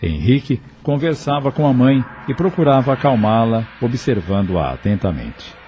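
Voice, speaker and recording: A man's voice: medium pitch, sing-song, normal speed, warm tone, soft background music. A man with a Brazilian accent giving scripted narration for an audio novel.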